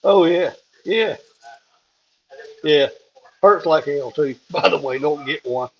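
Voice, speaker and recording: A man with a hoarse voice, chatting casually and plain-spoken on a live stream, laughing things off. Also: a little high, slow pace, slight background noise. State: hoarse after a throat tube. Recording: dull lo-fi call audio.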